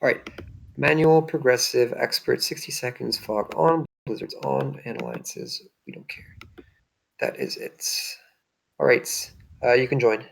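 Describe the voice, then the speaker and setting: A man with a gravelly, fry-edged voice and an even middle pitch talks through a strategy game as he plays. He hesitates a lot, fills gaps with "um" and reaches for words. The talk is loose and casual. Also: unhurried, poor audio. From French and Hungarian by birth. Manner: hesitant, searching for words, many fillers. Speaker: streamer playing a strategy game. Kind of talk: casual gaming chat.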